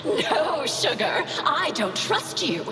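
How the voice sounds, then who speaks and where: A woman's voice: sits high, big pitch swings, slow, exaggerated voice. A woman voicing a seductive, defiant female artificial intelligence in a video game, speaking in a sultry, theatrical, taunting way. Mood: taunting, sly. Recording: echoey long reverb, loud music behind. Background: loud game music.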